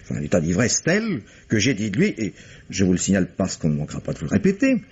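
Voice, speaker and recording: A low, husky, expressive voice speaks with urbane irony, a self-satisfied playwright. His phrasing is polished and a little vain. The sound is dull, like an old radio drama. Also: quick pace, exaggerated voice. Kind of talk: 1950s radio drama acting.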